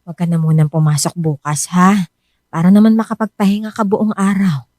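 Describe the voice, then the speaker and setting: A Filipino actress playing a worried, suspicious wife who nags and scolds in a Tagalog radio drama. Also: female voice, fairly low pitch, fairly even intonation, fairly quick, a little mellow, no room sound, clean studio sound, natural voice. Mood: caring, nagging. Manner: insistent, scolding.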